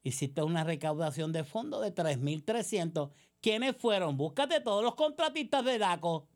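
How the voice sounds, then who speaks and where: A woman's voice: fairly low pitch, lively intonation, moderate pace. A woman with a Puerto Rican accent delivering a combative political monologue, sarcastic and accusatory, full of rhetorical questions. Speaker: political commentator.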